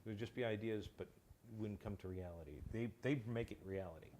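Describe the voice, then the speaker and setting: A man's voice: on the low side, a little fast, darkish tone, a little hiss or hum. A man speaking as a guest in a casual interview about his creative work, hesitant and often repeating words mid-sentence. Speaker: guest, writer and creator of a comedy audio show.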